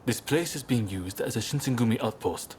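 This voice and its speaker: An actor playing a composed, shrewd samurai spymaster with a polished, persuasive manner in a game. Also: male voice, mid-range voice, lively intonation, brisk, darkish tone, a little hiss or hum, close to an everyday voice. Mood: assured, persuasive. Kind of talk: video game cutscene acting.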